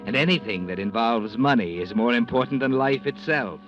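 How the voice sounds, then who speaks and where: An American man playing an ominous, mysterious all-knowing narrator in a 1940s radio mystery, deliberate and portentous. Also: medium pitch, animated, natural voice. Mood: grave, dramatic. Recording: muffled old radio, loud music behind.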